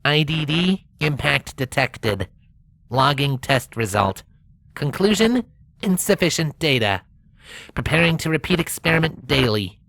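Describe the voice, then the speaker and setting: A woman's voice: low voice, lively intonation, measured pace, creaky, a bit bright, voice pushed up, exaggerated voice. Woman voicing a clipped, methodical robot rover. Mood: flat, methodical. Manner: clipped, procedural log-speak. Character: Opportunity, small literal-minded robot rover logging experiments.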